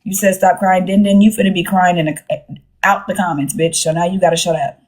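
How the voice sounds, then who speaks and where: A woman with a slightly low voice hosts a casual live stream. She speaks bluntly and sassily, leaning on slang and swearing freely. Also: flat delivery, fairly quick, darkish tone. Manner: blunt, sassy, profane, slangy. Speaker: livestream host.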